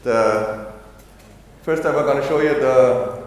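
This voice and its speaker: A man from Austria giving a technical conference talk, halting with many fillers and restarts. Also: mid-range voice. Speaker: conference speaker. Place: conference hall. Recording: echoey hall, long reverb.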